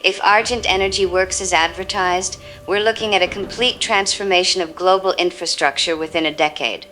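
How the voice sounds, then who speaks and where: A woman's voice: lowish voice, fairly even intonation, fairly quick, pushed and bright, poor audio. An actress playing a cautious, frank female scientific analyst, careful and explanatory in a news interview. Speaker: expert analyst guest. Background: music.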